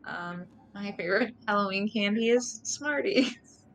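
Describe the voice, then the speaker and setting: A woman's voice: mid-range voice, measured pace, fairly bright tone, slight background noise. A woman chatting casually and playfully as a player on a tabletop game show. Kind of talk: casual chat.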